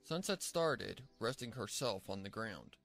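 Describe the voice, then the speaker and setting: A man's voice: fairly low pitch, expressive, a little slow, fairly bright tone, soft background music. A man narrating a scripted fan fiction story as a storybook narrator.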